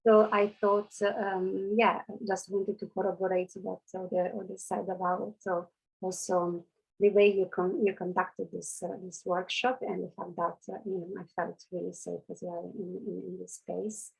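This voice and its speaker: A woman who grew up outside Canada speaks on a workshop video call in a husky, gravelly voice. Her delivery is flat and hesitant, full of fillers. She pauses often as she finds her words. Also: on the low side, low-quality recording. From not Canada. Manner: hesitant, many fillers, laughs nervously. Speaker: workshop participant. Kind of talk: casual group talk on a video call.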